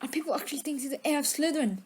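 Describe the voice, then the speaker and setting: Woman doing a snotty sneering wizard schoolboy, arrogant and spiteful, kinda high voice, audio play. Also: rapid-fire, a little mellow, natural voice. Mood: mocking. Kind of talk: fan audio drama acting.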